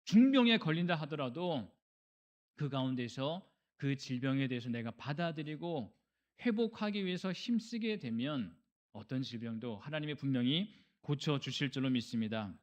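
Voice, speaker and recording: A man's voice: mid-range voice, expressive, normal speed. A man preaching a sermon as a pastor, earnest and exhorting, acting out short bits of dialogue. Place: church service.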